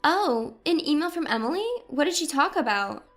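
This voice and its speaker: A woman puts on a scheming middle-aged daughter-in-law in an audio story, effusive and polite. The voice is high, with gushing, very mobile intonation. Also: lively intonation, moderate pace, a bit bright, faint music behind. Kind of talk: acted dialogue in an audio story.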